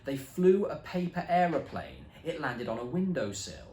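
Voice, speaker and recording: A young man reading a children's story aloud with dramatic, expressive delivery and slow pauses. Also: medium pitch, a little hiss or hum. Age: young adult. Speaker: reader. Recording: echoey room.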